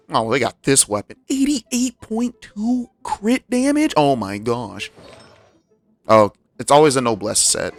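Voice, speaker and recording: A man's voice: mid-range voice, creaky. A man giving casual gameplay commentary as a streamer, thinking aloud as he goes. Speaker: game streamer.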